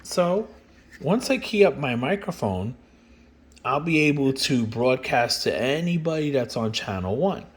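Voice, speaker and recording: A man presenting a product in an informal, plain-spoken demonstration and sales pitch, explaining things step by step. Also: lively intonation, a little slow. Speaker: company representative presenting a product.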